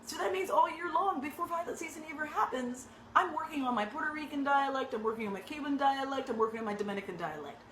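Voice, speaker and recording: A woman acting coach giving direct, conversational tips to actors, often checking in with a quick "right?". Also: sits high, a little hiss or hum. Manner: conversational, frequent rhetorical "right?" tags; animated and emphatic. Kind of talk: direct teaching talk to actors. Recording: echoey room with faint ambience.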